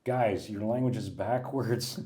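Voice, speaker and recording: A middle-aged American man chatting as an interview guest, telling lively stories and acting out the people he quotes. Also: on the low side, slow, a little hiss or hum. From USA. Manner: animated storyteller, acts out quoted people, laughs often. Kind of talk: casual interview chat.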